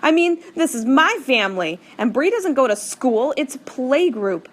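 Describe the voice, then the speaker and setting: A woman's voice: fairly high pitch, normal speed, a bit bright, pitched above the performer's own voice, lightly acted. A woman reading an audiobook, voicing a young girl who is upset about her family, her voice raised and shaking. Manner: shaky, raised voice.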